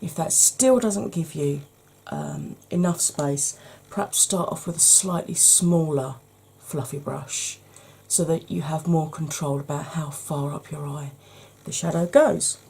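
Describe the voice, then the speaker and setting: A woman, hoarse from hay fever, chatting through a makeup tutorial step by step and speaking straight to her viewers, with frequent ums. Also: low-pitched, measured pace, hardly any pauses, warm tone, a little hiss or hum.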